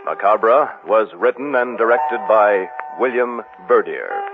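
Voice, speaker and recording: A man's voice: on the low side, big pitch swings, slow pace. A man announcing closing credits in a scripted radio style on an old broadcast recording. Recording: old military radio broadcast, muffled, music. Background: music.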